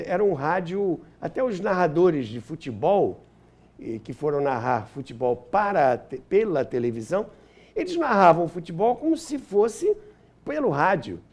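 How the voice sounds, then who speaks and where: A man's voice: high voice, big pitch swings, moderate pace, darkish tone, breathy edge. An older man with a Brazilian accent telling anecdotes and explaining history as an interview guest, repeating phrases for emphasis.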